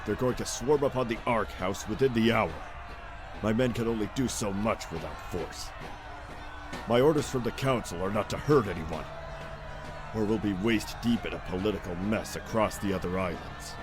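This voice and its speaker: A man playing a combative, gruff security commander with a forceful manner in an audiobook. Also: fairly low pitch, normal speed, a crowd in the background, close to an everyday voice. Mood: grim, resolute. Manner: forceful, barking orders. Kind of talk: audiobook character acting. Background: prominent music with outdoor ambience.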